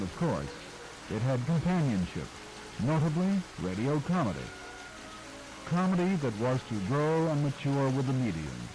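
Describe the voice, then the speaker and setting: A man's voice: mid-range voice, poor audio. A man reading a scripted narration for a documentary-style program. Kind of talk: scripted narration about radio history.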